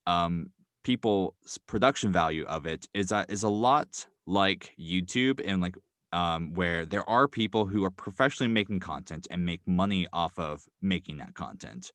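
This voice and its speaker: Young man, very low voice, interview guest, lots of fillers, dry sound. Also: lively intonation, fairly bright tone, no room sound. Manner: quick, filler-heavy, thoughtful. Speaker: guest, audio drama writer. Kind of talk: casual interview talk.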